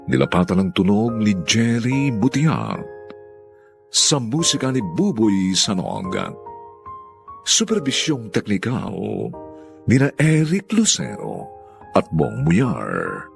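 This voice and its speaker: Creaky, deep, very dramatic male announcer. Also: huge pitch swings, slow, faint music behind. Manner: formal, dramatic. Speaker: announcer and host of radio drama. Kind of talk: radio serial narration.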